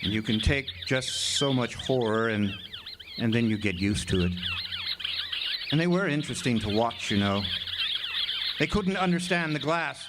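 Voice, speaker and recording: A man's voice: medium pitch, animated, measured pace, long pauses, close to an everyday voice. A man playing a haunted lighthouse keeper, narrating a horror radio drama in an intense, theatrical way. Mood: grim, haunted. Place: outdoor amphitheater stage. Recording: noisy live outdoor stage recording.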